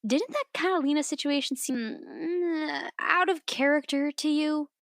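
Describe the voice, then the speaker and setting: An actress playing an outspoken, playful young woman in a fantasy audio drama, with a teasing, expressive, informal manner. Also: sits high, measured pace, dry recording, clean studio sound. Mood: curious, gossipy.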